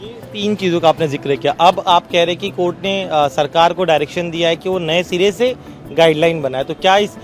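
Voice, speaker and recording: A man's voice: a little high, a little fast, soft background music. A male news reporter interviewing outdoors, pressing his guest to explain things in plain language.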